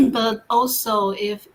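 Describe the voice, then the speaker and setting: A woman from Taiwan chatting casually in an online group talk, speaking haltingly and often clearing her throat. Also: a little high, poor audio. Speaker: guest co-host.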